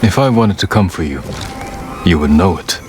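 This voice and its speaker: A man acting as a stoic, determined samurai in a video game, earnest and proud, speaking in a grave, measured, formal way. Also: low-pitched, expressive, soft background music. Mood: resolute. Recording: clear ambient noise behind the voice.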